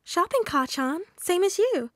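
A woman's voice: fairly high pitch, a little fast, no echo, close to an everyday voice. A woman voicing a cheeky teenage boy in an audiobook story, speaking with a teasing, put-on sweetness. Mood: sweetly teasing.

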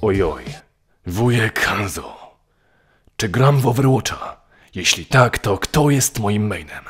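A man voicing a solemn, wrathful archer warrior in a husky, theatrical and grandiose manner, as a game character parody. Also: on the low side, animated, slow, faint music behind, heavily acted. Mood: demanding.

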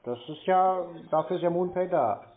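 A man's voice: sits high, moderate pace. A man on a phone call as a customer, questioning the agent in a matter-of-fact, persistently sceptical way. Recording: narrow, tinny phone line.

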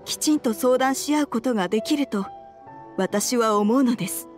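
A woman playing an earnest, troubled wife and mother in a video game, speaking politely and with measured care. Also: fairly high pitch, darkish tone, faint music behind, close to an everyday voice. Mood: worried, resolute.

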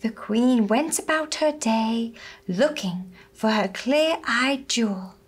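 Very slow, gentle young woman storyteller. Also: on the high side, husky. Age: young adult. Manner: gentle, deliberate, expressive. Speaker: puppet show storyteller. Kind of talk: slow storytelling for small children. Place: small furnished room indoors.

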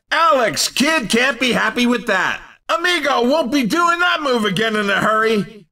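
An excitable male video game race announcer. His voice is very high and piercingly bright, and he shouts punchy, showy calls at a measured pace. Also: recorded from a distance, heavily acted. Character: excitable, showy male arcade racing commentator. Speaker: race commentator.

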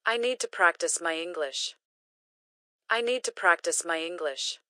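A woman reading short English phrases slowly and carefully, each one repeated for learners to practice. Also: on the low side, lively intonation, bright, forceful tone, no echo, studio quality. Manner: careful, clearly enunciated. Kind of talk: slow repeated phrase drills.

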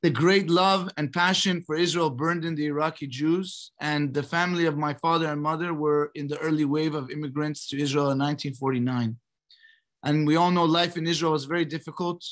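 American guy, middle aged, voice a bit high, measured rabbi talk over video call. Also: a bit bright. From Los Angeles, United States. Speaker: rabbi, guest speaker. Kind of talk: prepared personal address. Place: indoors in a book-lined study, on a video call. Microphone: computer mic on a video call.

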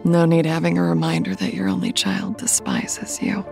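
A woman's voice: low-pitched, sing-song, normal speed, gravelly, over loud music, heavily acted. A woman playing a controlling, bitter middle-aged mother in an audio drama, haughty, clipped and evasive. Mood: guarded, disdainful.

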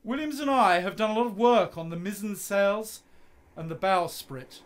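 An actor playing an idealistic, stubborn young husband and poet in a radio play, breezy and insistent. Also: male voice, sits high, moderate pace, natural voice. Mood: confident.

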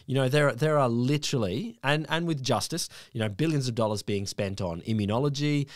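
A man in his forties with an Australian accent, co-host of a podcast, chatting lively over a headset from a room at home. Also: medium pitch, a little fast, dry recording, clean studio sound. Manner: lively, chatty, many yeahs. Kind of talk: casual science chat. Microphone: headset on a video call.

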